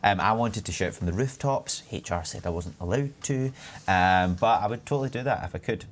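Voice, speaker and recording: A man's voice: lowish voice, brisk, slight background noise. A young Scottish man in his twenties, a community manager chatting on a live stream and reading out viewer comments. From Scotland. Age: 20s. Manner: chatty, rambling, reads chat aloud.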